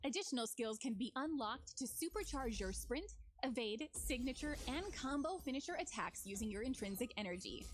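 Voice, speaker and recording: A woman's voice: sits high, expressive, a little fast, hardly any pauses, a little hiss or hum. A woman giving scripted promotional narration as a game developer presenting new features. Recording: music under the voice. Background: music.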